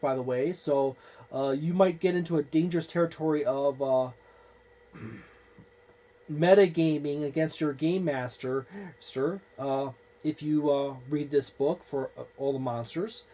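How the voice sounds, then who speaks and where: A man's voice: fairly high pitch, unhurried, pauses often, dark tone. A man giving an unscripted review of a tabletop game book, meandering and thinking aloud as he goes.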